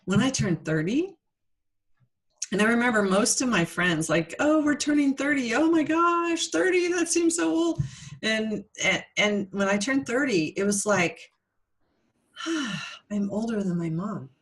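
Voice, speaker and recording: A woman's voice: on the high side, animated, measured pace. A middle-aged woman co-hosting a podcast, telling a personal story in an earnest, playful way. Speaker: podcast co-host. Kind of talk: casual reflective chat.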